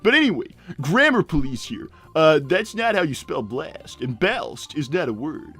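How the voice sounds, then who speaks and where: A man plays a short, crude cartoon hedgehog dad in improvised livestream banter. The voice is a little high and very lively in pitch, with halting, repetitive, matter-of-fact oversharing. Faint music plays behind it. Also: breathy edge, full character voice. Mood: rambling, self-deprecating.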